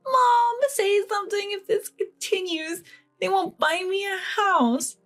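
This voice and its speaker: A woman's voice: very high voice, slow pace, fairly bright tone, soft background music, voice pushed up, exaggerated voice. A woman voicing a spoiled, entitled young bride in a dramatized family story, whiny, indignant and pleading. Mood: whiny, upset.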